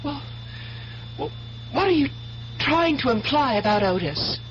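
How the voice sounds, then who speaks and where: An actress playing an excitable, adoring young American fiancée in a 1970s radio drama, gushing and earnest. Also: female voice, high voice, a little slow. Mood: alarmed, defensive. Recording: dull, noisy old radio broadcast.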